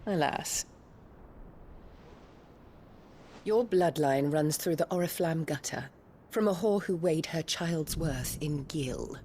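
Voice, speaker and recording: A woman playing a haughty, scheming noblewoman in a video game, speaking in a condescending, deliberate, formal way. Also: low voice, warm tone, a little hiss or hum. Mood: contemptuous. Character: haughty, scheming imperial noblewoman, cold and venomous. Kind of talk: dramatic video game cutscene acting.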